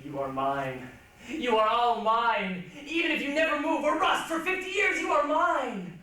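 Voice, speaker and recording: A man's voice: very high-pitched, big pitch swings, measured pace, pushed and bright, pitched above the performer's own voice, full character voice. A man playing a passionate, poor junkyard owner and father longing for space in dramatic stage readers' theatre. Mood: exultant. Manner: dramatic, impassioned, exclamatory. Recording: echoey hall, distant mic, faint noise.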